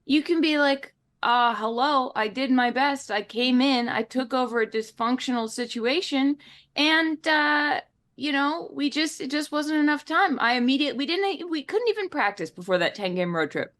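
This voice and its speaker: A woman co-hosting a basketball podcast, giving a playful, animated pep talk in casual chat. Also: high-pitched, normal speed. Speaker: podcast co-host.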